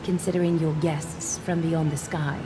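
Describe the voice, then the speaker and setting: A woman's voice: deep voice, flat delivery, moderate pace, noisy background, lightly acted. Woman acting a poised, enigmatic fantasy noblewoman. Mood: formal, polite. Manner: poised, formal, gently teasing. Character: composed, courteous, enigmatic noblewoman heroine of a fantasy world. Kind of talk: scripted video game voice acting.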